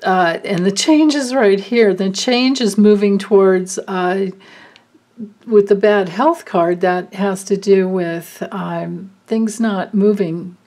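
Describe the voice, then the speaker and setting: A woman doing a card reading, thinking aloud with many pauses and fillers. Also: mid-range voice, a little mellow. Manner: slow, musing, thinking aloud. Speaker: card reader. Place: indoors at a table, hands and cards filmed from above.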